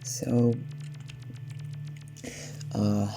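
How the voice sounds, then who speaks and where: A man talking slowly and unhurriedly through a gameplay session, his delivery dry and sardonic with a faintly glum edge. He hesitates often and fills gaps with ums and uhs. Game music plays clearly behind him. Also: on the low side, level tone. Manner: sardonic, slightly down-sounding, hesitant with many fillers. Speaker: let's play gamer. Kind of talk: casual gameplay commentary.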